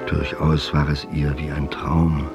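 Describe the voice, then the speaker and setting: An actor playing a pensive author, narrating a literary audio drama in a measured, contemplative way. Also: male voice, very deep voice, monotone, highs cut off. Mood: measured, wistful. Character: pensive male author telling his tale. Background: music.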